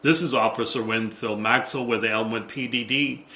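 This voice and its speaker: A man voicing a brisk, authoritative female police officer in a play, official and insistent. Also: mid-range voice, fairly even intonation, bright, forceful tone, reverberant. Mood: firm, official.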